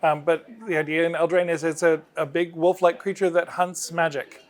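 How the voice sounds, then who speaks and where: Middle-aged man with a higher-pitched voice. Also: level tone, normal speed. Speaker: game designer interviewed about his work. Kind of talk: casual interview explanation.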